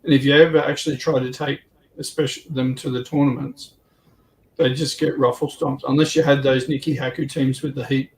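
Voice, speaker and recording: A man's voice: mid-range voice, little pitch movement, a little fast, poor audio. An Australian man chatting casually on a hobby livestream panel, with hesitant, rambling phrasing. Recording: clear background noise on livestream.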